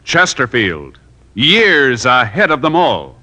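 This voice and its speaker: A man announcing a 1950s radio show and its sponsor in a crisp, formal, measured way. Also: medium pitch, wildly swooping pitch, sharp tone.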